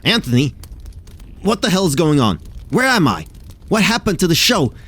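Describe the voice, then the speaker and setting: A man's voice: fairly high pitch, big pitch swings, normal speed, a bit bright, breathy edge, heavily acted. A man playing a hot-tempered quiz show host in an audio drama, with an emphatic, dramatic delivery. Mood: angry, demanding.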